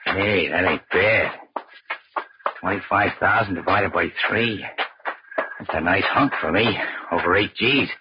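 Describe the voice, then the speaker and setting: An actor playing a greedy gangster henchman who talks in rough slang, in a 1940s radio crime drama. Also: sits low, a little slow, pauses often, noisy background. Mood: greedy, scheming. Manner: slangy, rough tough-guy talk. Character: greedy male gangster henchman, eager for his cut. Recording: dull, lo-fi old radio recording.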